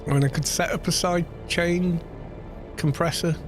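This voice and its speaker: A man with a baritone voice, chatting casually on a gaming live stream and muttering to himself as he plays. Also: moderate pace. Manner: relaxed, muttering to himself between remarks. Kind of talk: casual live-stream commentary while playing. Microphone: studio microphone with low cut. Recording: game music playing behind the voice. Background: game music.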